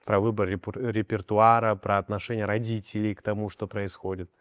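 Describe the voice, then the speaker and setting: A man's voice: lowish voice, level tone, fairly quick, clear, resonant tone, no room sound, studio quality. A man with an Abkhaz accent hosting an interview, asking long friendly questions. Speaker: interview host.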